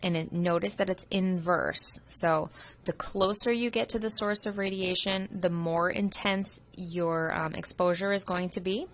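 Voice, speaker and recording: A woman's voice: on the low side, steady tone, unhurried. A woman instructor, sick and sniffling, giving an informal recorded review of a radiography test. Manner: informal, explanatory, with frequent ums. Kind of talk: recorded test review lecture over slides. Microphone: computer microphone.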